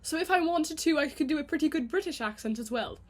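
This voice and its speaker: Young Canadian woman, high-pitched, casual self-mocking vlog ramble to camera. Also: lively intonation. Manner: chatty and self-mocking, quick giggly asides. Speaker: vlogger.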